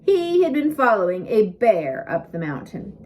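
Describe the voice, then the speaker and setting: A woman reading a scripted story aloud as an audiobook narrator, with expressive emphasis and dramatic pauses. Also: mid-range voice. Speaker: audiobook reader.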